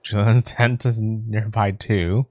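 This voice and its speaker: Girl streamer w really deep voice, close dry mic, casual lil asides while gaming. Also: fairly even intonation, measured pace, darkish tone, no echo. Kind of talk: casual let's play commentary. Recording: game music behind the voice.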